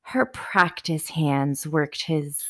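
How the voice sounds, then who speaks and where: A sultry audiobook narrator played by a woman reads steamy romance. The voice sits slightly low and keeps a measured storytelling manner. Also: lively intonation, full character voice.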